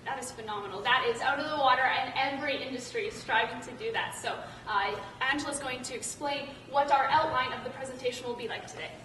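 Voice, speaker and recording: A woman's voice: high-pitched, quick pace, few pauses, bright, forceful tone. A young woman from British Columbia with a Canadian accent, presenting informally as a student. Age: young adult. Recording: echoey room, distant mic, faint noise.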